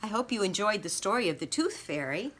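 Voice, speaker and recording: A woman storyteller and teacher reading aloud and explaining for children, with deliberate, emphatic phrasing. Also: medium pitch, big pitch swings, a bit bright, slight background noise. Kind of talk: children's read-aloud and simple lesson.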